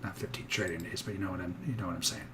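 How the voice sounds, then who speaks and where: Man, slightly hoarse, lowish voice, chatty live trading commentary with clear noise underneath. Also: steady tone, racing. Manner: chatty, thinks aloud, many restarts. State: a little hoarse. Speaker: futures day trader streaming live.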